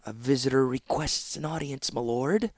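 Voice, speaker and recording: A man's voice: mid-range voice, moderate pace, no echo, clean studio sound, natural voice. A man voicing a nervous, trembling male court seneschal who stammers.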